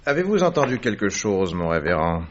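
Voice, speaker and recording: An actor playing a self-assured, analytical gentleman detective with dry wit in a French radio drama, speaking deliberately and formally. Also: sits low, sing-song, lo-fi sound, a little hiss or hum. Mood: brisk, observant. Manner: deliberate, precise, formal phrasing.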